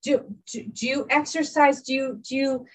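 A woman's voice: high-pitched, normal speed, a little hiss or hum, poor audio. A woman life coach giving an earnest teaching talk, often restarting and repeating herself as she explains.

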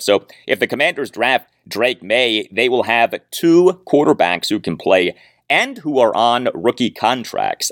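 A man hosting a sports show, delivering commentary and reading listener email with emphatic, deliberate phrasing. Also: on the low side, a bit bright, dry recording, studio quality. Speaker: sports podcast host.

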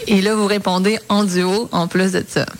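A woman's voice: medium pitch, steady tone, normal speed, a little hiss or hum. A woman interviewing two guests, asking long, thoughtful questions.

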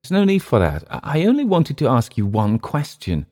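Man putting on a bitter, raised-pitch drunken Englishman. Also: wildly swooping pitch, moderate pace, a little mellow. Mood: embarrassed. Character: bitter, drunken, volatile Englishman gone to seed.

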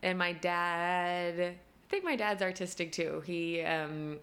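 A woman's voice: on the low side, slow pace, fairly bright tone. A young woman being interviewed as a guest, chatty and casual, with plenty of fillers and frequent laughs. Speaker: costume designer, guest.

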